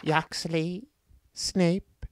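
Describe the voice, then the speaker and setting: A man voicing an imperious, smug dark wizard lord in a comic parody audiobook, droning his orders. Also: on the high side, steady tone, drawn-out words, no echo, clean studio sound, pitched above the performer's own voice. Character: high-pitched noseless dark lord villain, drawling and smug.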